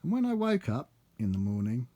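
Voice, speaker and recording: British male narrator, animated intonation, bawdy comic rhyming verse, measured storytelling cadence. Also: medium pitch. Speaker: author reading own book.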